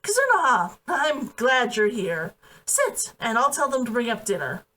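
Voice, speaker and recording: A woman voicing a courteous, guarded male adventurer in a storybook reading, with measured phrasing. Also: fairly high pitch, big pitch swings, sharp tone, full character voice. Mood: courteous, nervous. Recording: voice distant from the mic.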